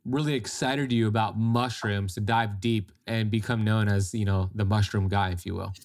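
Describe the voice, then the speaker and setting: A man's voice: lowish voice, steady tone, moderate pace. Enthusiastic male podcast host. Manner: enthusiastic, friendly, some fillers. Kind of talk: podcast interview hosting.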